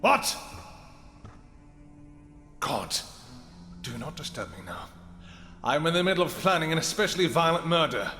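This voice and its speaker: An actor playing a regal, possessive vampire king in a fantasy audio roleplay, with archaic, theatrical speech and halting pauses. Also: on the high side, very animated, pushed and bright, heavily acted. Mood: vengeful fury. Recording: echoey hall reverb with music.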